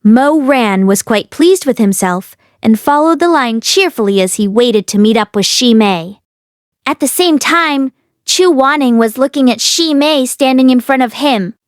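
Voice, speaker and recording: A woman's voice: sits high, animated, measured pace, clean tone, no room sound, studio quality. A woman narrating a novel aloud as the host of a read-along. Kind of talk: expressive story narration.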